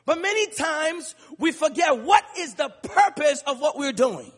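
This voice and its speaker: A man from Liberia preaching a sermon in a large church, calling to the congregation and rising into shouts. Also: very high-pitched, lively intonation, a little slow. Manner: rhythmic call-and-response preaching, building to shouts. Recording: long church reverb.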